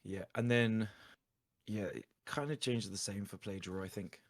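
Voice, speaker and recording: Low-voiced, slightly husky man coaching gameplay. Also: normal speed. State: tired voice going hoarse. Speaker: guest player coaching a card game stream. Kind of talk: casual live game commentary.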